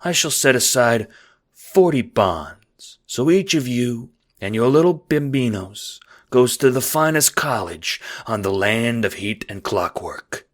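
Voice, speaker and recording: Give the part of a crocodile crime boss to a man putting it on in a storybook narration. He speaks slowly and deliberately in a fake Godfather accent. His manner is oily and courtly, with menace underneath. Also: mid-range voice, lively intonation, pitched above the performer's own voice, natural voice. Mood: grandly promising. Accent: fake Godfather mobster accent. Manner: slow, deliberate, falsely genteel.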